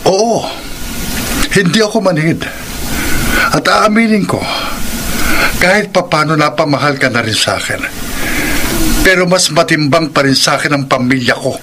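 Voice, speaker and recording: Radio drama married man, played by a male actor: torn, blaming, emphatic, somewhat high-set voice over clear noise. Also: moderate pace, long pauses, close to an everyday voice. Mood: conflicted. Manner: accusing, emphatic. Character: reproachful married man blaming his mistress, torn toward family. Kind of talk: Filipino radio drama acting.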